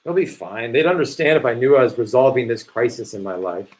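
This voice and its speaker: A man playing an earnest, impatient waiter seeking forgiveness in a play reading, talking in a halting, urgent way. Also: mid-range voice, animated, a little mellow, natural voice. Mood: sheepish.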